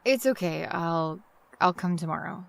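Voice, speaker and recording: A woman's voice: lowish voice, expressive, a little slow, vocal fry. A woman playing a weary, polite visitor with a hesitant, downbeat manner in an audio drama. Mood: hesitant, concerned.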